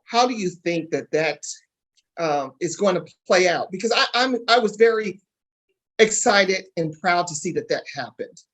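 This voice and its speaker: A man's voice: high-pitched, animated, moderate pace, fairly bright tone. A man hosting a casual interview, repeating phrases for emphasis and speaking directly to the audience. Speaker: interview host.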